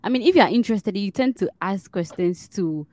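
A woman's voice: medium pitch, expressive, normal speed, a little mellow, dry recording, clean studio sound. A woman chatting casually as a podcast guest, earnest and full of "like" and "you know.". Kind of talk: casual conversational podcast chat.

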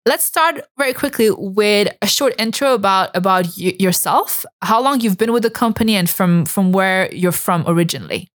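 A woman's voice: medium pitch, lively intonation, normal speed, hardly any pauses. A woman hosting a podcast, speaking conversationally as she introduces and questions guests. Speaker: podcast host.

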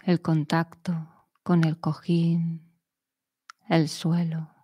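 A woman guiding a meditation in a soothing, measured manner, speaking in short phrases with long pauses. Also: low-pitched, monotone, dark tone, breathy edge, dry recording, clean studio sound. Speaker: meditation guide. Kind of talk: guided meditation narration.

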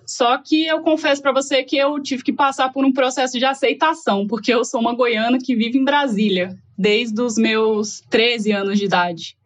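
A woman's voice: a little high, fairly even intonation, moderate pace, few pauses. A woman from Goiás, Brazil, with a Goiás accent, telling her story in a casual, reflective conversation full of fillers. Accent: Goiás Brazilian Portuguese. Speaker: guest. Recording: dull lo-fi audio.

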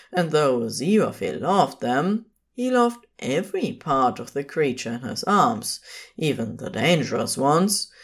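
A woman's voice: extremely low pitch, slightly rough. A woman narrating scripted fiction as the storyteller of an audio story.

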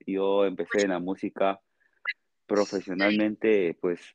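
A man with a Peruvian accent answering interview questions conversationally as a guest, explaining in a halting way and often checking with a short tag question. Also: sits low, flat delivery, darkish tone, highs cut off. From Peru. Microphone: remote call.

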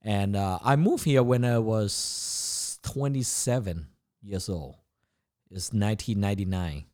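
A man's voice: lowish voice, unhurried, darkish tone. A man of about fifty from Thailand, with a Thai accent and non-native English grammar, chatting casually about himself as a guest. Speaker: podcast guest, chef. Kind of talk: casual autobiographical chat.

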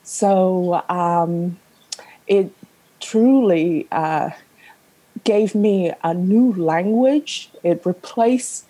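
A woman in her fifties from Vietnam, Vietnamese her first language, speaks slowly and deliberately in a remote interview. Her voice is husky and mellow, with frequent fillers and pauses. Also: fairly low pitch, poor audio. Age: 50s. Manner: slow, thoughtful, many fillers. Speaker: guest, novelist.

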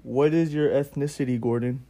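A man's voice: mid-range voice, steady tone, normal speed, dark tone. A young man hosting a casual amateur podcast and interviewing a guest. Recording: faint ambient background noise.

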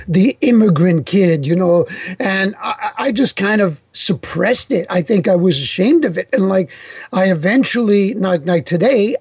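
A man's voice: high voice, darkish tone, breathy edge, no echo. A man from New York whose first language is Italian, hosting a casual interview in a chatty, friendly way. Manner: chatty, friendly, filler-heavy. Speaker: interview host.

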